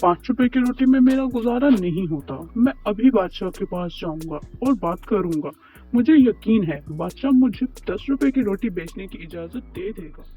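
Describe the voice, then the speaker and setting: A man's voice: high voice, animated, normal speed, slightly rough. A man playing a poor, worried, humble bread baker in an Urdu moral cartoon story, pleading and deferential. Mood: insistent. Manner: pleading, deferential, talks to himself. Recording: muffled lo-fi track with music behind.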